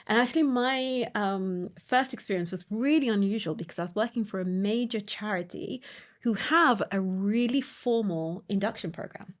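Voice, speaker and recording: A woman's voice: medium pitch, normal speed. A woman co-hosting a podcast, recalling her career in a reflective, conversational way with frequent ums. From United Kingdom. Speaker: podcast co-host.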